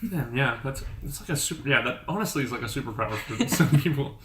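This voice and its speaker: A man's voice: mid-range voice, expressive, quick pace, fairly bright tone. A man in his twenties, a podcast guest, chatting in a joking, rambling way with many fillers. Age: 20s. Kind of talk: casual chat. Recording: echoey room with faint hiss.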